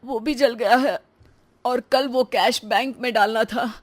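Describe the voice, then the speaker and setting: Woman doing a bragging cartoon husband, loud and showy, kinda high voice. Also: steady tone, unhurried. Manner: boastful, brash. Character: showy spendthrift young husband, boastful. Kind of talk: cartoon dubbing.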